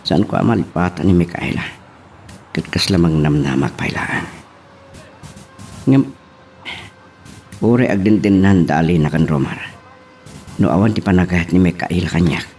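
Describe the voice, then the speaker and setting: A man acting a gentle, lovelorn, sorrowful suitor in an Ilocano romance radio drama. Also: deep voice, a little slow, long pauses, soft background music.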